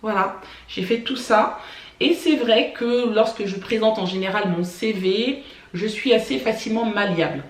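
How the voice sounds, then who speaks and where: A woman born in France, telling personal stories to camera for her family vlog in a chatty way, often pausing on "euh" and "voilà". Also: mid-range voice, echoey room. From France (born in Paris). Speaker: family vlogger. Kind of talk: casual personal storytelling to camera.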